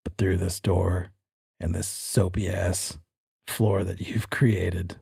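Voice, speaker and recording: A man runs a tabletop horror game as its game master. His voice is on the low side, relaxed and husky. He moves between scene narration and casual, teasing banter, in a dry, close sound. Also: takes it slowly, no room sound.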